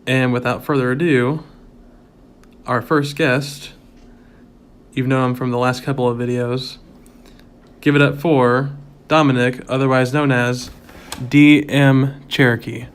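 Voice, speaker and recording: Young male host, flat delivery. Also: medium pitch, measured pace, clean tone. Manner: friendly, short reactions. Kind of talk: casual interview.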